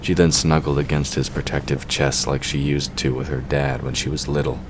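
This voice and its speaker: Male narrator, extremely low pitch, scripted storytelling, clear background noise. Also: little pitch movement, normal speed. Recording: clearly noisy background ambience.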